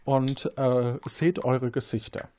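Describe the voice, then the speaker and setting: A man's voice: mid-range voice, steady tone, slow pace, warm tone, a little hiss or hum. A man hosting an interactive crime game, narrating scenes as game master in a partly improvised, playful way.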